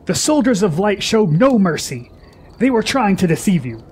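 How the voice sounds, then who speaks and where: Man playing a proud, high-voiced young warrior prince. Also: sing-song, moderate pace, a little mellow, husky, full character voice. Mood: urgent. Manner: haughty, dramatic. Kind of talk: radio drama acting.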